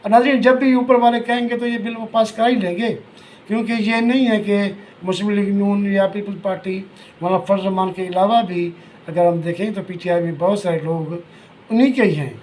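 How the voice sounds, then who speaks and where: A Pakistani man with a distinctly high voice talks through political analysis for his viewers. His delivery is informal and halting, circling back to repeat phrases. There is noticeable noise in the recording. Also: steady tone, a little mellow, low-quality recording. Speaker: political commentator.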